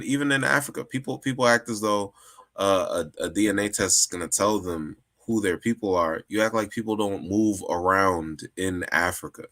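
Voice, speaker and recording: A low-voiced man talks through his ideas in a loose, conversational way. His tone is bright and forceful, and he often restarts and repeats words as he builds his point. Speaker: guest. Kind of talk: casual discussion.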